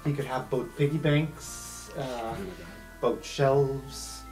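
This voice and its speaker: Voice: medium pitch, fairly even intonation, slow, a little mellow, poor audio. Informal game master over background music. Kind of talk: improvised tabletop game narration. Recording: music bed under the voice.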